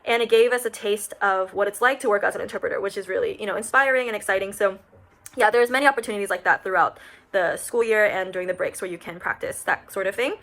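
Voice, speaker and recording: A woman's voice: mid-range voice, quick pace, a bit bright. A young woman in her twenties, a recent graduate, talking casually to camera with lots of fillers like "um" and "like". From United States. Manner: chatty, many fillers like "um" and "like".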